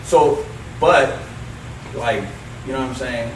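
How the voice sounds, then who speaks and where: A thirty-year-old man hosting a podcast in casual, slangy banter, animated, swearing and often repeating himself. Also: mid-range voice, slow. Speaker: podcast host. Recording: noisy echoey room, distant mic.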